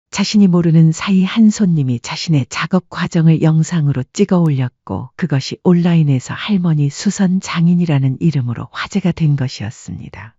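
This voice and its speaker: A woman reads a scripted story calmly and evenly. Her voice is husky and mellow. The recording sounds dull and dry, close to the mic. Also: sits low, no room sound. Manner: calm, even storytelling. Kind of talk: scripted audiobook narration.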